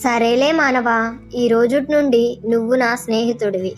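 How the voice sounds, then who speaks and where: A woman's voice: on the high side, fairly even intonation, moderate pace, faint music behind, close to an everyday voice. A woman voicing a friendly, helpful demoness in a village moral tale.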